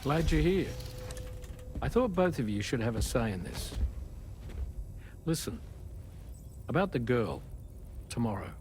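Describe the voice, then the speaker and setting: An actor playing a calm male scientist who explains things in a measured, matter-of-fact way in a game cutscene. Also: medium pitch, a little hiss or hum. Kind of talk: video game cutscene acting.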